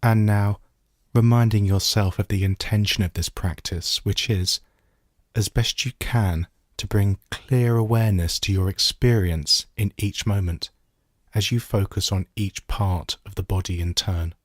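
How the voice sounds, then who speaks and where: A man guiding a body scan meditation as a teacher, giving gentle, measured instructions with pauses. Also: fairly low pitch, steady tone, dry recording, studio quality.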